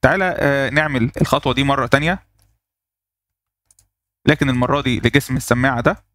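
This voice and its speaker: A man with an Egyptian Arabic accent walking through a software tutorial step by step, pausing on fillers as he works. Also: medium pitch. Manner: explains step by step, thinking aloud with fillers. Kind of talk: screen-recorded software tutorial.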